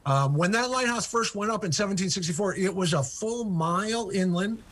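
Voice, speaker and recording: Older male guest author, husky, eager, filler-heavy storyteller on a video call. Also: high voice, normal speed, fairly bright tone. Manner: enthusiastic, many ums and uhs. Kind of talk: remote interview storytelling.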